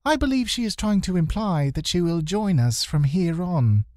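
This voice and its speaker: Man doing a high, pedantic little know-it-all. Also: lively intonation, moderate pace, a little mellow, dry recording, clean studio sound. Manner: stiffly formal, precise phrasing.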